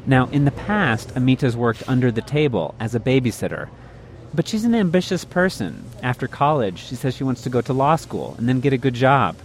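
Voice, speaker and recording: A man hosting a public radio program, reading scripted reports and interviewing guests in a measured way. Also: medium pitch, very animated, a little hiss or hum. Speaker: radio host. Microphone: studio microphone.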